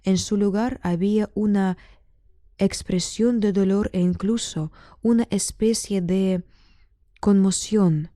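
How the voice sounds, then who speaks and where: A woman with a soft-edged, mellow voice reads a dramatic story as its narrator. Her delivery is measured, with pauses between phrases. The recording is close and very dry. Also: medium pitch, no echo.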